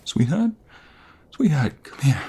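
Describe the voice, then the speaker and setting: A man acting a tender, protective boyfriend in an intimate ASMR roleplay, speaking softly and soothingly, often close to a whisper. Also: mid-range voice, sing-song, takes it slowly, exaggerated voice. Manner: soft, soothing, often breathy near-whisper. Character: tender, devoted boyfriend, a gentle protective lover.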